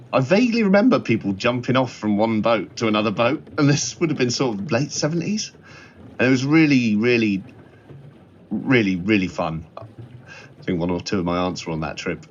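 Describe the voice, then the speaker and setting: Middle aged guy chatting about old memories, deep lively voice, kinda lo-fi sound. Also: moderate pace, a little hiss or hum. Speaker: interviewee sharing memories. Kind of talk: casual reminiscing chat. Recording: dull, slightly lo-fi sound.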